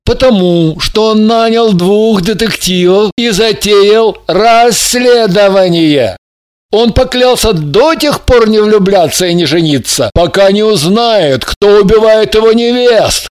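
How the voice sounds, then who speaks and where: A man's voice: high voice, slow pace, smooth, no room sound, voice pushed up. A man voicing a smug, cocky young guy. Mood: condescending.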